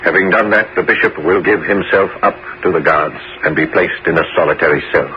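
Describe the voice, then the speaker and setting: A man's voice: sits low, steady tone, brisk, natural voice. A man playing a grave, remorseful scheming bishop of old France in a 1970s radio drama, with formal, theatrical delivery. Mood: solemn. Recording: muffled, noisy old radio broadcast.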